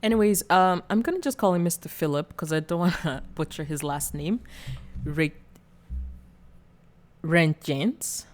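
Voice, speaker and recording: A woman's voice: lowish voice, unhurried, long pauses. A woman from Rwanda hosting her own channel, talking through political commentary in a hesitant way with many fillers and small giggles. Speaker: channel host. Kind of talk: casual political commentary video.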